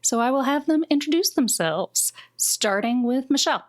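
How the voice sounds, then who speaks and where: A woman's voice: high-pitched, animated, moderate pace. A woman narrating a tabletop game as its game master in a conversational, folksy way.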